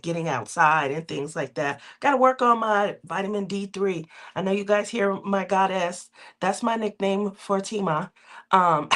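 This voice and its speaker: A woman's voice: lowish voice, a bit bright. Nasal-sounding woman with a cold. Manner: rambling, laughs often, drawn-out filler words. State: recovering from a cold, sore throat. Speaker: craft livestream host. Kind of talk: casual chatty livestream.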